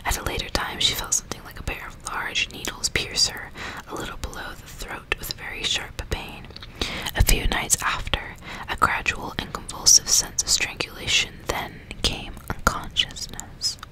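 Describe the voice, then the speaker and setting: A woman whispers right up close to the mic in an intimate ASMR style. Her voice is all breath with no voicing, and she delivers her words softly and deliberately, as if hosting a bedtime reading. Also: poor audio. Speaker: ASMR host reading a novel aloud. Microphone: close ASMR microphone.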